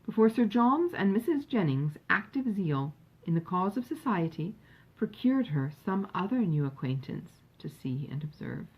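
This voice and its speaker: A woman reading a classic novel aloud as an audiobook narrator, in an expressive, measured storytelling manner. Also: medium pitch, breathy edge, rough recording.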